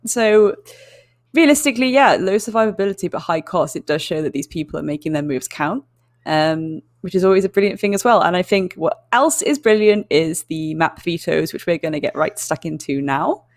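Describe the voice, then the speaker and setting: Woman, esports caster, low-ish voice, dry booth sound, chatty analytical delivery. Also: expressive, dry recording. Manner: measured, chatty, filler-heavy.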